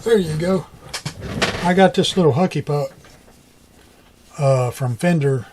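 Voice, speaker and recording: A man's voice: on the high side, unhurried, background noise. A middle-aged man giving a casual hands-on tutorial, talking in a folksy, colloquial way and half muttering to himself as he works. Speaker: repair hobbyist.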